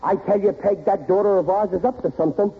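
A man's voice: high voice, fast talker. An actor playing a suspicious, blustering middle-aged American working-class father in a 1940s radio sitcom, grumbling in ungrammatical speech. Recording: tinny old radio broadcast recording.

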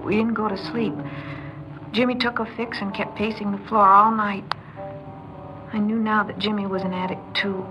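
A woman's voice: medium pitch, level tone, normal speed, pauses often, lo-fi sound, over loud music, close to an everyday voice. An actress playing an anxious, devoted young woman in an old crime radio drama, with an American accent and an earnest, pleading, emotional delivery. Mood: haunted, subdued. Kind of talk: mid-century American crime radio drama acting, confessional narration and dialogue.

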